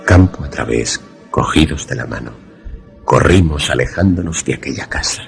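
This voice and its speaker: An actor playing a wary, grave protagonist who narrates a radio suspense drama formally. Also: male voice, very deep voice, animated, normal speed, gravelly, faint music behind, exaggerated voice. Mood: solemn storytelling. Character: wary male narrator-protagonist, secret lover in danger.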